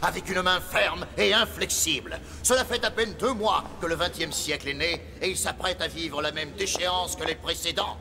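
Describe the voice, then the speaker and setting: A man's voice: on the high side, moderate pace, creaky, hardly any pauses. A man playing a zealous conspirator leader in a cartoon, delivering grandiose, declamatory political oratory. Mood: grave, stern. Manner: pompous, declamatory. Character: zealous male conspirator leader rallying world powers. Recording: music and crowd behind the voice.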